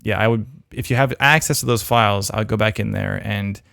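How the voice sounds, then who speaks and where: A man's voice: on the low side, steady tone, fairly quick, gravelly, bright, forceful tone, smooth, dry recording. A man hosting a livestream, casually thinking aloud as he gives frank critique of demo reels on a close mic. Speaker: host reviewing demo reels.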